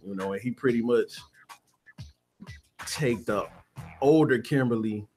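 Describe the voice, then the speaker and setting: A man's voice: mid-range voice, unhurried, a little mellow, soft background music. An American man, a stage actor, chatting casually as an interview guest in a plain-spoken, colloquial way with emphatic repetition. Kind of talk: casual chat.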